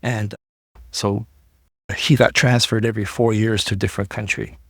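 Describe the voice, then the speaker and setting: A man's voice: fairly low pitch, normal speed, gravelly, no echo. Earnest middle-aged man, dry close mic. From Iran. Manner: earnest, repeats words when excited. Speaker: podcast guest, entrepreneur. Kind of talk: casual interview talk.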